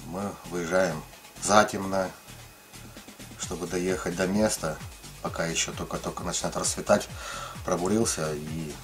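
A man's voice: sits low, fairly even intonation, unhurried, long pauses, fairly bright tone, soft background music. A middle-aged man casually explaining his ice fishing tackle, rambling with many fillers and restarts. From lived in Ukraine, now in the Russian far north.

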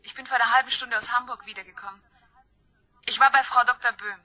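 A woman's voice: on the high side, steady tone, fast talker, pushed and bright, dry recording. An actress playing a composed, earnest woman in a German crime radio play. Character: composed, earnest woman, murder victim's daughter.

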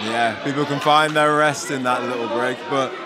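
A man with a British accent co-commentating a kickboxing bout, reacting with quick, enthusiastic calls and often shouting. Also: mid-range voice, fairly even intonation. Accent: British English. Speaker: fight co-commentator. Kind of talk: ringside commentary. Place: function hall at a kickboxing event. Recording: loud crowd and hall noise behind.

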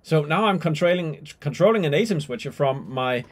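A man's voice: fairly high pitch, expressive, a little fast. A man in his forties giving an unscripted tutorial demonstration, informal and somewhat hesitant as he thinks aloud. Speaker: tutorial presenter. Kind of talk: unscripted software and hardware demonstration. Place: small indoor room with skylight window.

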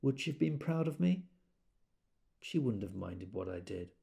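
An actor playing a wry British character in an audio drama, telling memories conversationally and quick to exasperation. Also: male voice, on the low side, expressive, creaky, mellow. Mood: reflective, understated. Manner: conversational, acts out quoted speech. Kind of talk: intimate audio drama monologue.

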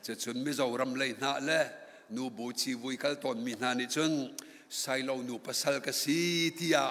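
Middle-aged preacher in reverberant church. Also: male voice, mid-range voice, normal speed, a bit bright. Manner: gestures widely, rising to emphatic passages. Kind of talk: sermon. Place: large church hall. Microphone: pulpit microphone. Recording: long church hall reverb.

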